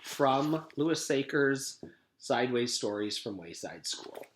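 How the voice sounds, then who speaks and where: A man narrates a children's storybook like a teacher at read-aloud time. His pitch is medium and very animated. The room around him is noticeably echoey. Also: slow, a little hiss or hum. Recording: echoey room, home-made reading.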